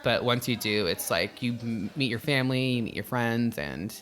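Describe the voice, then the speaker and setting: Lebhafter Mann im Interview, heller Klang. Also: male voice, mid-range voice, moderate pace, fairly bright tone, faint music behind. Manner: playful, self-mocking, laughs often. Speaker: pole dancer guest. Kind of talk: casual interview chat.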